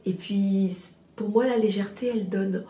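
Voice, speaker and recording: A woman in her sixties with a cold, chatting in an interview about her first novel, hesitant with many fillers and restarts but lively in bursts. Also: mid-range voice, normal speed, roomy sound, recorded from a distance. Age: 60s. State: has a cold. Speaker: guest, novelist. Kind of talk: casual interview chat.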